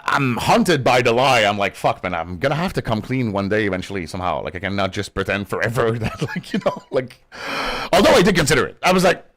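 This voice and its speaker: A young man with a Quebec French accent chats casually in English while gaming. His pitch swings widely and animatedly, and he rambles through his stories with plenty of swearing and laughter. His voice sits in a middle register. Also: a bit bright. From Quebec, Canada. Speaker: gaming streamer.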